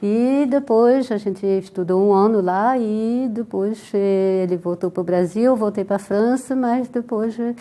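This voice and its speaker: An older woman from France speaking with a French accent, calmly telling her life story as a guest in a TV interview. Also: medium pitch, unhurried, few pauses. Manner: calm storytelling with soft laughs.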